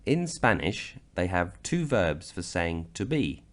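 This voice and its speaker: A man from Britain narrating a slideshow grammar lesson, explaining slowly and deliberately. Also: low voice, big pitch swings. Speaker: language teacher.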